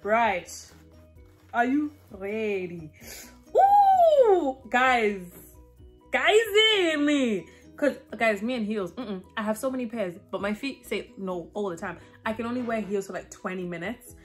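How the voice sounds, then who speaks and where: A woman's voice: on the high side, big pitch swings, unhurried, faint music behind. A young woman, not a native English speaker, chatting animatedly in a home try-on haul vlog and calling her viewers guys. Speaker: fashion vlogger. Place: home living room, indoors.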